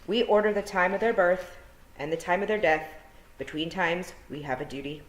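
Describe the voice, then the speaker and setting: A woman's voice: lowish voice, normal speed, roomy sound, natural voice, rough recording. A woman as a grave old grandmother. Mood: solemn. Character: wise old grandmother shepherd, calm.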